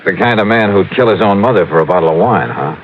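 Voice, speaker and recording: Wry hard-boiled American radio detective, lively delivery. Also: male voice, deep voice, fast talker, a little hiss or hum. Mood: wry, probing. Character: brisk, wry male insurance investigator, hard-boiled and skeptical. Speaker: lead actor and narrator in a radio detective drama. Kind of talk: old-time radio detective acting and first-person narration. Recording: dull old radio recording with loud music.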